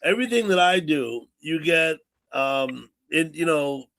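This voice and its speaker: A man in his sixties hosts a loose livestream chat, his voice sitting a little high for a man. He rambles, circling back and repeating his words as he goes. He talks into a computer mic on the stream call. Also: expressive, measured pace, gravelly, fairly bright tone. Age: 60s. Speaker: livestream host.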